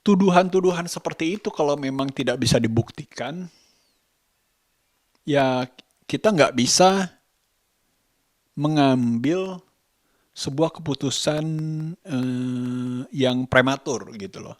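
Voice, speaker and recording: Slow, halting man vlogging. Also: medium pitch, a little mellow, dry recording, studio quality. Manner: halting, deliberate, searching for words. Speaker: vlogger, host. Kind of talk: casual opinion vlog to camera.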